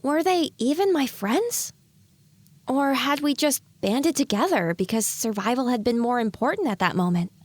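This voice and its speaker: High, lively young filly voiced by a woman. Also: normal speed, no echo. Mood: doubtful, hurt. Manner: self-questioning, thinking aloud. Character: young filly pony, anxious, hopeful survivor. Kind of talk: audio drama acting.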